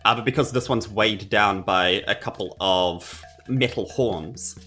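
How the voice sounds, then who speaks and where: A man's voice: on the low side, measured pace, sharp tone, soft background music. A man with a New Zealand accent narrating his character's moves in a tabletop game, in casual, hesitant phrasing. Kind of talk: casual improvised roleplay narration.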